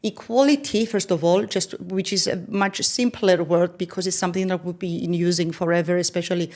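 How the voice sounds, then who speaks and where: A woman's voice: lowish voice, fairly even intonation, brisk, darkish tone. A woman speaking as a podcast guest who explains ideas step by step and often checks in with "right?". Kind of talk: explanatory conversation.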